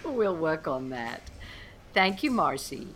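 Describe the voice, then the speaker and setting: A woman's voice: deep voice, fairly bright tone, a little hiss or hum, full character voice. A woman playing a kindly but firm elementary school teacher in a radio play, speaking carefully as if to young children. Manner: measured, enunciating as to young children.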